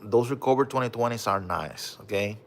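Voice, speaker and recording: A man with a Puerto Rican accent hosting a casual livestream, reading viewer comments and showing off his collection, with a relaxed manner and frequent "bro" and "okay?". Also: lowish voice, fairly quick, a little hiss or hum. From Puerto Rico. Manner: relaxed, repeats "bro" and "okay?", many fillers.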